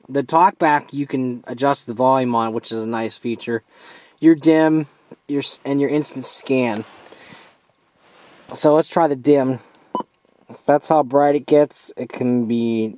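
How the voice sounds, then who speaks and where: A man giving a casual, hands-on product review as an amateur, informal and halting as he steps through features. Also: medium pitch, darkish tone, no room sound.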